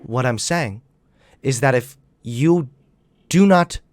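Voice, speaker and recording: A man's voice: medium pitch, expressive, slow, darkish tone, a little hiss or hum. A young man hosting a heated online debate, lecturing and talking over his opponent. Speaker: debate host.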